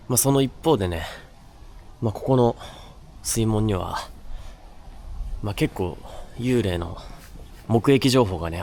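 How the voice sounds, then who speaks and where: A man hosting a haunted-spot exploration, talking casually and haltingly as he comments on location outdoors. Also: fairly low pitch, a little mellow, slight background noise. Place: outdoors by a river floodgate at night. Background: background music.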